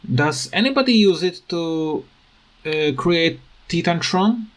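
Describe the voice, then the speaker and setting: A man in a casual rant over game footage, speaking with halting, non-native phrasing as a gaming video creator. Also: on the high side, expressive.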